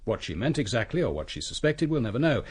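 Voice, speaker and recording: An actor playing a coolly brilliant British police inspector in a detective radio drama, husky, measured and deliberate. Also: male voice, medium pitch, very animated. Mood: probing. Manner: measured, deliberate, theatrical reconstruction of events.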